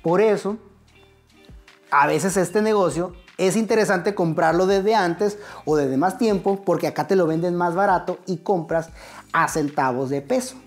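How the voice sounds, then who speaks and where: A man's voice: sits high, unhurried, soft background music. A middle-aged man with a Mexican accent teaching real estate at a whiteboard, talking straight to the viewer in a conversational, step-by-step way, standing and gesturing in a studio. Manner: conversational, addresses viewer directly, explains step by step. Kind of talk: whiteboard explainer lesson. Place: indoor studio set.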